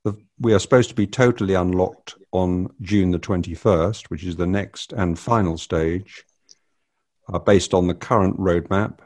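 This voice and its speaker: A man's voice: low-pitched, steady tone, moderate pace, darkish tone. An older man hosting a casual family podcast, rambling and hesitant with many fillers. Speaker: podcast host.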